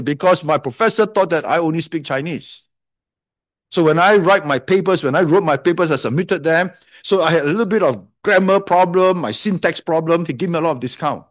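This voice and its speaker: Male pastor, slightly high voice; chatty sermon delivery, repeats key phrases, drops in jokes. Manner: conversational preaching with joking asides.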